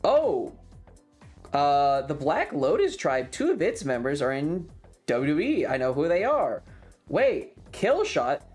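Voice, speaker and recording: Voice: mid-range voice, very animated, takes it slowly, a little mellow, faint music behind. A streamer chatting casually and enthusiastically in a rambling, colloquial way, like a fan talking to friends. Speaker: streamer and content creator.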